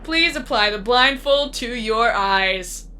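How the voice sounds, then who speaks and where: Actress as female game announcer, higher-pitched, forceful, detached menace, instructive delivery, audio drama. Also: animated, slow pace, slight background noise, exaggerated voice. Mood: commanding. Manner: composed, instructive, matter-of-fact about death. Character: disembodied female announcer of a deadly arena game, coolly menacing. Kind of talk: scripted audio drama acting.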